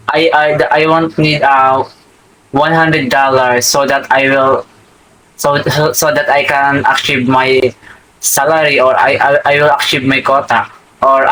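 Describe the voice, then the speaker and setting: A man's voice: on the high side, flat delivery, takes it slowly, pauses often, bright, forceful tone, slight background noise, poor audio. A young man from the Philippines with a Filipino accent, chatting casually on a video call in hesitant English with many restarts. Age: young adult. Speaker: livestream host practising English.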